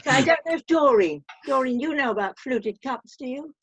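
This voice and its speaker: Older woman, highish voice, chatting. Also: lively intonation, fairly quick, husky. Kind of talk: casual reminiscing and chat. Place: video call.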